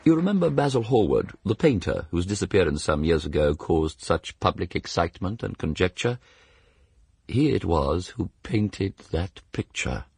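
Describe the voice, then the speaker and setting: An actor playing a witty, cynical middle-aged aristocrat with an upper-class English accent, arch and theatrical in a radio drama. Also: male voice, low-pitched, very animated, moderate pace, gravelly, darkish tone, slight background noise, full character voice. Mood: reflective. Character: witty, cynical English aristocrat, worldly and languid, recalling the past. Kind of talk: radio drama acting and first-person narration.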